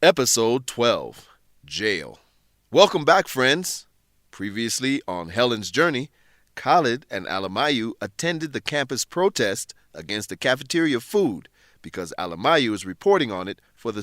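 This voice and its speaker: Animated male radio drama narrator. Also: mid-range voice, no echo, studio quality. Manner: deliberate, announcer-like enunciation. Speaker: narrator and host. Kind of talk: scripted radio drama narration for English learners.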